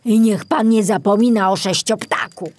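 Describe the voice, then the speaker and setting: A woman's voice: mid-range voice, moderate pace, darkish tone, pitched above the performer's own voice, close to an everyday voice. A woman voicing a kindly, cheerful old male forester in a children's audiobook, instructive and reassuring.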